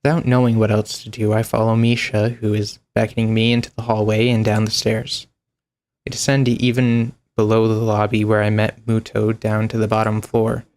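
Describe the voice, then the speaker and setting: A young man streaming, reading a visual novel aloud in a relaxed, casual way. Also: lowish voice, moderate pace. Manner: relaxed, casual, giggly asides.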